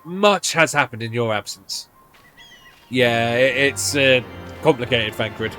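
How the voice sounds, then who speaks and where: A man's voice: medium pitch, takes it slowly, bright, forceful tone, soft background music. A man live-streaming a game, chatting casually and reading out the story dialogue as he plays. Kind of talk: live let's play, reading game dialogue aloud in character voices.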